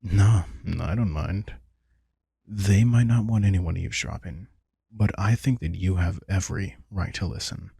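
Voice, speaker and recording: A man's voice: lowish voice, vocal fry, darkish tone, slightly rough, no room sound, clean studio sound, full character voice. A man playing a centuries-old vampire king, wry and protective, talking casually and intimately to the listener in an audio roleplay. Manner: casual, reflective, swearing, addresses listener directly. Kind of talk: intimate scripted audio roleplay, conversational monologue.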